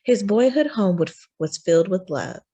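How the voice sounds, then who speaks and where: A woman reading a children's picture book aloud as a story time host, with expressive, deliberate phrasing for young listeners. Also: mid-range voice, slightly rough, dull audio.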